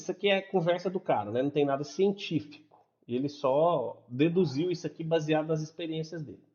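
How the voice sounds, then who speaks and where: A man's voice: a little high, darkish tone. A man from Brazil with a Brazilian Portuguese accent, explaining a lesson in a casual, chatty way and checking in with listeners after nearly every sentence. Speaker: English teacher. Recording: dull lo-fi recording.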